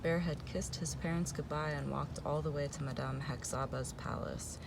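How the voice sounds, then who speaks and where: A creaky-voiced reader tells a folktale aloud. The voice sits a little away from the microphone, with clear noise behind it. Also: medium pitch, fairly even intonation, moderate pace. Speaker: story reader. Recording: noisy, distant microphone.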